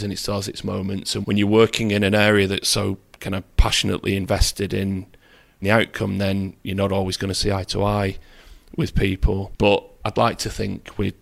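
A man being interviewed as a guest, speaking in a measured, candid way with self-deprecating asides. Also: low voice, gravelly. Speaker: property developer, interview guest. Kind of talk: conversational interview.

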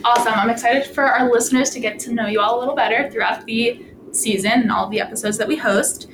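A woman's voice: on the high side, fast talker, reverberant, noisy background. A woman co-hosting a podcast, enthusiastic and encouraging as she introduces the teen hosts. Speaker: podcast staff co-host.

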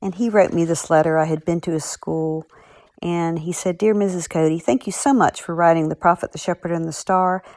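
A woman's voice: fairly low pitch, fairly even intonation, a little fast, a little mellow, no echo. A woman speaking as an author in a podcast segment, chatting and reading out letters.

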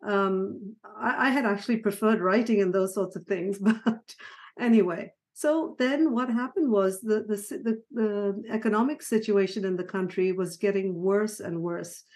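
A middle-aged woman born in Sri Lanka and raised in Ghana, talking through her life story as a podcast guest, with soft laughs and frequent restarts. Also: medium pitch, normal speed. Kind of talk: conversational storytelling interview.

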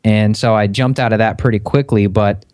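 Young man, 20s, interview guest; easygoing storyteller, lower voice, dry mic. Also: normal speed, dry recording. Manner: relaxed, conversational, frequent fillers. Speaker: podcast guest. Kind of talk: casual interview chat.

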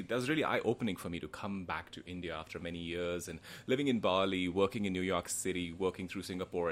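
Deep-voiced earnest male interviewer. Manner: earnest, searching, many fillers. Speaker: interview host. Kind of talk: conversational interview questions.

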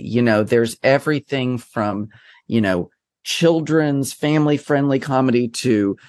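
A man from Texas speaks at a middle pitch in a dry, close recording. He talks as a guest about his drag performing, rambling and self-deprecating with plenty of animated asides. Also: no room sound. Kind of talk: conversational interview.

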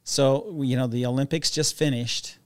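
A man's voice: mid-range voice, steady tone, normal speed, smooth. A man co-hosting a casual podcast, upbeat and joking, with frequent fillers. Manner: upbeat, tells dad jokes, uses fillers. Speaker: podcast co-host.

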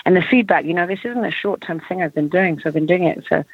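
Creaky-voiced woman on a phone line. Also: fairly low pitch, fast, no echo. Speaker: horse trainer and clinic instructor. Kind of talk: explanatory interview talk. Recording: band-limited phone line.

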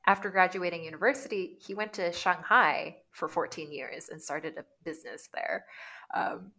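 A woman in her thirties from Canada, a guest chatting casually, animated and full of "like"s. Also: lowish voice, normal speed, vocal fry. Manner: chatty and animated, many "like"s, laughs easily. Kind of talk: casual conversation.

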